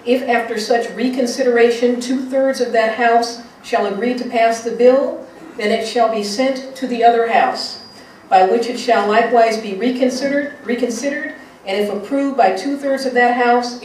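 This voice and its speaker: A woman reads formal text aloud in a fairly high voice. She sounds distant in a very reverberant room. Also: moderate pace, darkish tone. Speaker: guest reader. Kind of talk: formal reading aloud of a legal document. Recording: very echoey, distant, faint noise.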